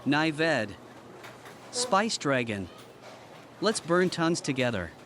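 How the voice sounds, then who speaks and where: A man's voice: a little high, very animated, slow. A man voicing a bold, roguish spice dragon cook in an audio drama, savoring his terse lines over faint noise. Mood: bold welcome.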